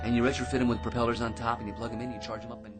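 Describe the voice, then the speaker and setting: An actor playing an excitable, self-important eccentric in a radio drama, rambling and stammering through grand schemes with theatrical delivery. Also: male voice, medium pitch, very fast, loud music behind the voice, lightly acted. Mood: excited rambling.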